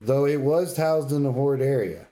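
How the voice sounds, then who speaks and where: Male podcast co-host, dark relaxed tone at middling pitch, blunt opinions in casual gamer banter. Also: normal speed. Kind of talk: casual gaming banter and debate.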